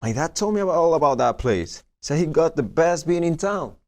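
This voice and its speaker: A man's voice: on the high side, expressive, brisk, a little mellow. A man playing an easygoing young guy in a gang of friends, speaking casually in a screenplay table read. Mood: impressed.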